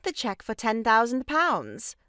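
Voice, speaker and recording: A pompous, aggrieved busybody in an audio farce. She declaims in a high, very animated voice, turning each reproach into grand melodrama. The sound is close and dry. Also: moderate pace, dry recording, full character voice. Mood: reproachful. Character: meddling, theatrically aggrieved sister-in-law, grandly moralizing woman. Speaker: voice actor. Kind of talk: stage farce audio drama acting.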